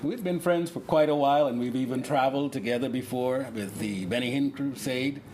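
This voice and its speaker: A man with a Bahamian accent speaks as a host and coordinator, in a mid-pitched voice. He talks off the cuff in a halting, deliberate manner, with noticeable room noise around him. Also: a little mellow. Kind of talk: unscripted interview and announcements. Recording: clearly noisy room ambience.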